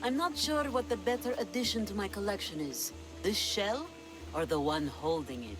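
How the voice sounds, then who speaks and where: A woman's voice: medium pitch, expressive, normal speed, over music, close to an everyday voice. An actress playing a sly, imperious, treasure-loving pirate queen of mature years, with a languid, theatrical delivery in a video game cutscene. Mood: haughty. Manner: languid, commanding, teasing, theatrical.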